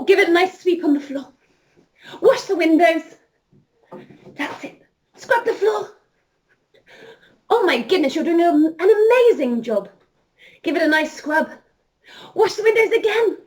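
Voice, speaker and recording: A woman telling an interactive story to young children as a princess entertainer, animated and encouraging, speaking directly to them. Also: extremely high pitch, a little slow, long pauses.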